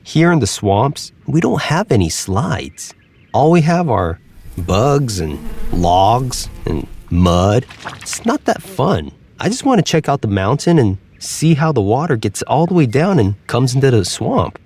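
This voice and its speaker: A man's voice: medium pitch, sing-song, measured pace, a little mellow, husky, pitched above the performer's own voice, full character voice. A man voicing a curious, easygoing alligator in a storybook tale, friendly and laid-back. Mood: eager.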